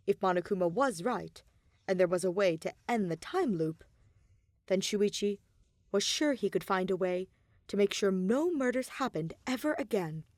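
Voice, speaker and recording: A woman narrates a story in an audiobook style. Her voice sits at a medium pitch, expressive and deliberate, in a very dry recording with little room sound. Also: no room sound. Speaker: podfic narrator. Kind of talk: scripted fiction narration, audiobook reading.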